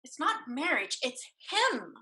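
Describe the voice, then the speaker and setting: A woman's voice: high-pitched, very animated, takes it slowly, pushed and bright, full character voice. A woman playing an anxious, stubborn sister who protests earnestly and dramatically in a staged play reading. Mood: outraged refusal.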